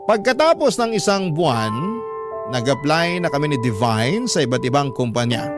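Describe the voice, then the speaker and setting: A man's voice: on the high side, sing-song, normal speed, over music. An actor playing a sentimental young man who narrates his love story for a radio drama in an earnest, confiding way. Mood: reflective, grateful. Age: young adult.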